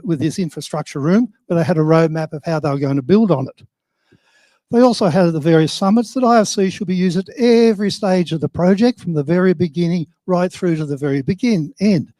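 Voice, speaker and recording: An older Australian man speaks in a high-set voice with a soft, mellow tone. The sound is dry and close, with very little room in it. He presents informally to a conference, plain-spoken and wryly self-deprecating. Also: no room sound.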